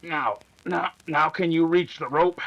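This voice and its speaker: A man's voice: a little high, unhurried, vocal fry, a bit bright, muffled recording. A man playing a dim, uneasy outlaw sidekick with folksy, ungrammatical Western talk in an old-time radio drama. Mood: doubtful.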